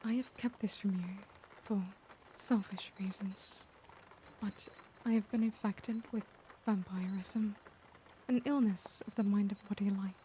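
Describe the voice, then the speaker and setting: A woman acting as an anguished, caring vampire lady in an ASMR roleplay, speaking formally and tenderly in hesitant, breathy half-whispers. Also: medium pitch, warm tone, slight background noise, close to an everyday voice. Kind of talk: ASMR roleplay, intimate dramatic monologue.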